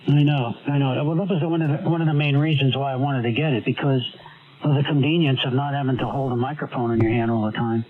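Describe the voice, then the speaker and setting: A man on a ham radio link, chatting casually and relaxed about his microphone setup. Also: medium pitch, fast. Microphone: boom mic with foot pedal. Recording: tinny radio signal with background chatter.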